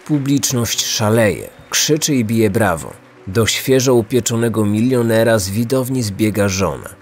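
A man narrating a scripted true-crime podcast as its host, measured and serious. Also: lowish voice, animated, soft background music, a faint crowd.

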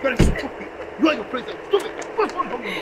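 A performer playing a furious stranger who shouts and rants with wild leaps in pitch. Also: sing-song, racing. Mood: raging. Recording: loud street noise with traffic, distant mic.

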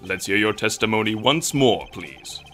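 A man's voice: lowish voice, animated, moderate pace, a bit bright, soft background music. A man voicing a solemn, pompous old courtroom judge, speaking formally in a fan-dubbed video game. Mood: stern.

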